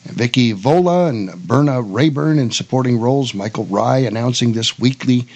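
A man hosting a talk show, mixing casual chat with read notes, halting and repeating words. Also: medium pitch, darkish tone. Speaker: radio show host.

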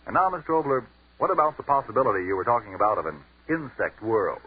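A man's voice: medium pitch, animated, quick pace, breathy edge, phone-quality sound. A man announcing and reading ads on a 1940s radio broadcast in an emphatic sales cadence.

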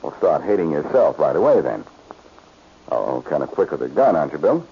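A man's voice: very deep voice, sing-song, fairly quick, creaky, exaggerated voice. An actor playing a cool-headed, wisecracking male amateur sleuth, tossing off sardonic quips in 1940s radio detective style. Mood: flippant. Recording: muffled, noisy old radio recording.

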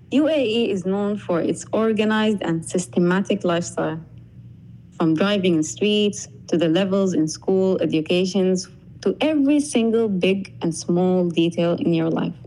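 Young woman guest, warm mellow voice. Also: medium pitch, rough recording. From Sudan. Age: young adult. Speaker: podcast guest. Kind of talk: scripted podcast conversation.

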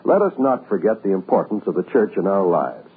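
A man's voice: sits low, sing-song, a little fast, breathy edge. An American man reading a formal, solemn scripted message as a 1940s radio announcer. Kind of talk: 1940s scripted public-service radio narration. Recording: muffled old radio broadcast.

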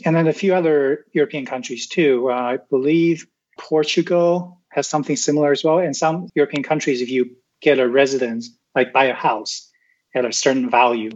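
A man's voice: medium pitch, fairly even intonation, normal speed, darkish tone. A man from Taiwan talks through his ideas as a guest, checking in with "right?" and restarting phrases as he goes. His voice sits in an ordinary middle register. The audio is dull and muffled. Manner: explanatory, frequent "right?" tags and restarts. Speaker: podcast guest. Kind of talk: casual interview talk.

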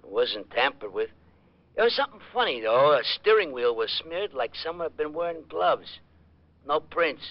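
A dopey, mumbling police sergeant. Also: male voice, on the high side, very animated. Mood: sleepy. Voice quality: slow, mumbling, hesitant. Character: dim-witted, sleepy male police sergeant. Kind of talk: 1940s radio acting. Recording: dull old radio broadcast.

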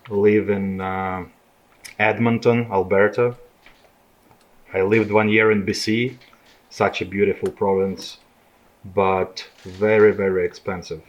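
A man's voice: low-pitched, lo-fi sound. A man in his thirties from Ukraine, speaking with a Ukrainian accent as a guest in a casual podcast chat, explaining things plainly with small hesitations. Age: 30s.

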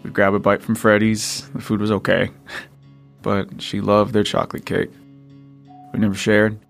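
A man playing a worn-down father in a post-apocalyptic radio drama, speaking quietly and gently to his daughter. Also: lowish voice, flat delivery, normal speed, vocal fry, soft background music. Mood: wistful. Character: weary father, survivor in a wasteland.

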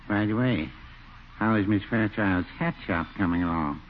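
Male actor as sly, noncommittal older shopkeeper, lowish hesitant voice, old radio comedy, scratchy narrow-band audio. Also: measured pace, close to an everyday voice. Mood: slyly curious. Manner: hesitant, understated, dryly sly, humming before replies. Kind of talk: 1940s radio comedy acting. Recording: scratchy, band-limited 1940s radio broadcast.

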